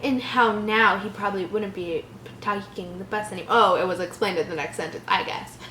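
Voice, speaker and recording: A woman's voice: medium pitch, brisk, bright, forceful tone. A woman reading a horror story aloud and breaking in with sarcastic, exasperated commentary. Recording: roomy echo, faint background noise.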